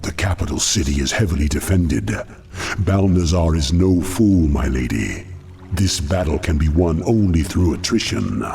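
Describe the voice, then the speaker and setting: An actor playing a haughty, scheming demon lord in a game cutscene, sardonic and formal with a gravelly voice. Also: male voice, a bass voice, lively intonation, moderate pace, darkish tone, slight background noise, heavily acted. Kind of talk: video game cutscene acting.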